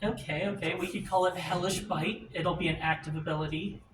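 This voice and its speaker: Male game master, casual rules talk, high voice, roomy distant recording with faint noise. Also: steady tone, brisk. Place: indoor room, group game table.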